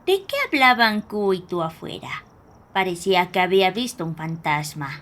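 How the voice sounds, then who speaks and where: A woman playing a stern, guarded elderly grandmother in a horror audio drama, speaking slowly in admonishing tones. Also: lowish voice, expressive, a bit bright. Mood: wary warning.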